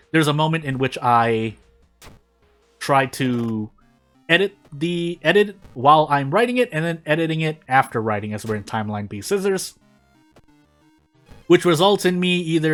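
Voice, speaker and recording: A man's voice: on the high side, fairly bright tone. A man hosting a gaming channel, giving casual, rambling gameplay commentary with self-deprecating asides.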